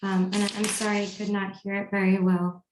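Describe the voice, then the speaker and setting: A woman's voice: medium pitch, level tone, fairly quick, noisy background. A woman leading an online group training session, politely giving instructions and prompts to participants. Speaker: training facilitator. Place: online video call. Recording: roomy, echoey video call audio.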